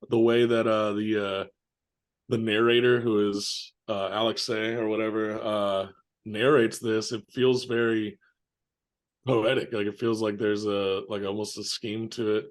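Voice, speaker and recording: A man co-hosting a casual film discussion, speaking haltingly as he searches for his words. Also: lowish voice. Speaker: podcast co-host.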